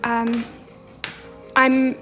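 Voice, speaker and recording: High, even-voiced young woman with a slight Romanian accent. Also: extremely slow. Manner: chatty, reads viewer comments aloud and answers them. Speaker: livestream host. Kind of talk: casual livestream chat while journaling. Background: faint background music.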